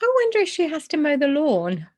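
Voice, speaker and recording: A woman voicing a small, polite, curious and excitable bear in a children's storybook reading, with earnest exclamations. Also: high-pitched, fairly quick, voice pushed up. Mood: wondering.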